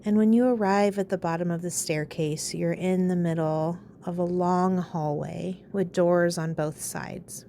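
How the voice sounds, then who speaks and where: A woman leading a guided meditation in a calm, soothing, unhurried way, pausing between phrases. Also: on the low side, a little hiss or hum.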